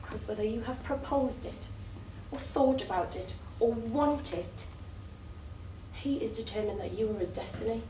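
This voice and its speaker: A bitter, confrontational woman played by an actress on a theatre stage, pressing and accusing like a jealous lover. Her voice is on the high side and comes from a distance with noticeable echo. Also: moderate pace, warm tone, close to an everyday voice. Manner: probing, accusatory, rhetorical. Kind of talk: theatrical stage play acting. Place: theatre stage, recorded from far off. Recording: distant echoey stage recording, faint noise.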